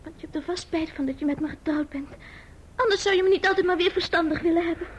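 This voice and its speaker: A woman's voice: extremely high pitch, fast. An actress playing a childish, coquettish newlywed wife in an old radio drama, with a girlish, pouting, wheedling delivery. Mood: sulky, hurt. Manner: pouting, wheedling, stammering when upset. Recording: dull, faintly noisy old radio recording.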